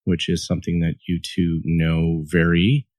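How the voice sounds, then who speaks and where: A man's voice: low-pitched, level tone, a little slow, darkish tone, no room sound, clean studio sound. A man hosting a podcast as a couples therapist, reading a scripted intro and then chatting with guests in a friendly, easy way with light laughter.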